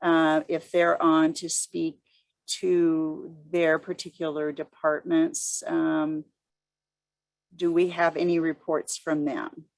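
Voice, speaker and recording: A woman with a low voice moderates a work conference call. She talks slowly and deliberately, with halting phrases, and the sound is dry and close. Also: level tone, gravelly, no echo, rough recording.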